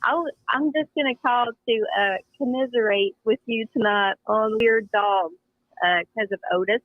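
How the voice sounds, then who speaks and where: A woman's voice: fairly high pitch, moderate pace, no room sound. A woman calling into a show, chatty and friendly, repeating her words, over a tinny phone line. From Kingsport, US East. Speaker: caller.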